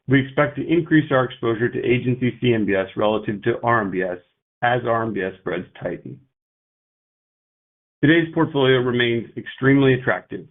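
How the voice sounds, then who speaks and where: A male company executive speaking in a measured, formal, technical way on an investor earnings call. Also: mid-range voice. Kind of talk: prepared investor remarks and analyst Q&A on an earnings call. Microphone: conference call line. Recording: tinny narrow-band phone line.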